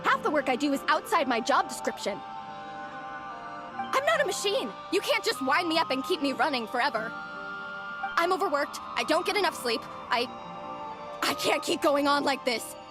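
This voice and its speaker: An exasperated, overworked young employee in a video game. Her high voice is lively and pointed, with weary emotion, and music plays clearly behind it. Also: moderate pace, pauses often. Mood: fed up, indignant. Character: overworked, exasperated young female office worker with buried temper. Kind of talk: dramatic video game voice acting.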